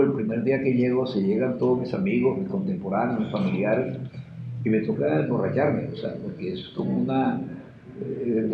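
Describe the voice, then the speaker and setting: A Colombian Caribbean man in his sixties talks in a reminiscing, conversational way, as an interviewee does. His voice has a gravelly fry to it. The recording is echoey and muffled, as if in a bare room. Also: mid-range voice, noisy background. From Caribbean river region of Colombia. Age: 60s. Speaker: interviewee, sociologist and teacher.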